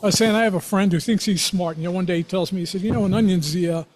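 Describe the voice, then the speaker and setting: A man's voice: high-pitched, very fast, a little hiss or hum. A middle-aged man, a minister, telling a joke and then leading a spoken prayer at a club lunch. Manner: loud, jovial speaker. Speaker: minister giving an invocation.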